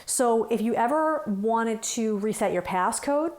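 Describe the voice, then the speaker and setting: A woman's voice: a little high, expressive, unhurried, darkish tone. A woman working as a trainer, talking through instructions step by step in an informal, conversational way. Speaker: phone system trainer.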